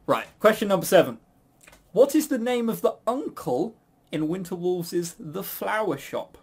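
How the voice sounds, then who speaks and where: A man's voice: high-pitched, very animated, unhurried, slightly rough. A man hosting a casual quiz, chuckling and teasing as he banters. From a Western country. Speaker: quiz host.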